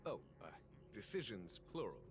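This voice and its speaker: A gracious, shrewd military leader speaks in a measured, fairly low voice. His game dialogue is courtly and formal, set over clearly audible music. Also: very animated. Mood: calm, wry. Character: composed, shrewd, gracious male general. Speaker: video game voice actor. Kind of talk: scripted game dialogue, English dub. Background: background game music.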